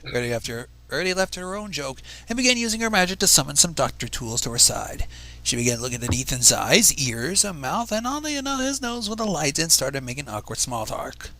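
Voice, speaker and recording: Male fanfic reader and stream host, highish lively voice, expressive narration with exasperated casual asides. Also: a little fast, poor audio. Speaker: fan fiction reader, livestream host. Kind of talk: dramatic story reading with casual commentary.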